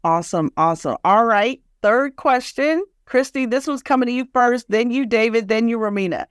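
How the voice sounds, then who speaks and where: A woman's voice: fairly high pitch, moderate pace, smooth, dry recording, studio quality. A woman hosting a podcast, moderating a panel in a conversational way. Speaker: podcast host.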